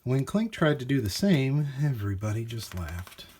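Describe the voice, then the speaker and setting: A man reading a children's storybook aloud in an animated, expressive way with dramatic emphasis. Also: medium pitch, moderate pace, slight background noise. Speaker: storybook reader.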